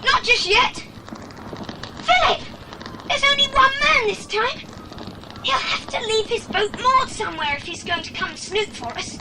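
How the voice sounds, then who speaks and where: A woman playing a bold, quick-thinking British boy adventurer, urgent and decisive, in a children's audio drama. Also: extremely high pitch, expressive, very noisy. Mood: scheming.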